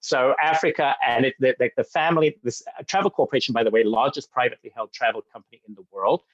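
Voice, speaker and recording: A man from Zambia giving an upbeat sales presentation, talking eagerly with frequent fillers and quick restarts. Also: medium pitch, no echo, lo-fi sound. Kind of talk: enthusiastic webinar presentation.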